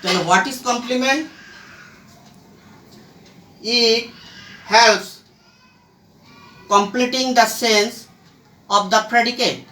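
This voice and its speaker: A teacher giving an English grammar lesson in a halting, deliberate way, in short fragments. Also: medium pitch, pushed and bright. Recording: echoey room, distant mic, faint noise.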